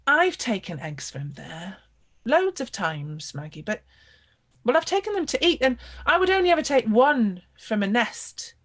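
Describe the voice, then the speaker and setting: A woman's voice: deep voice, big pitch swings, slow pace, long pauses, a bit bright, breathy edge, heavily acted. Woman doing an earnest, upset village boy. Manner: earnest, hesitant.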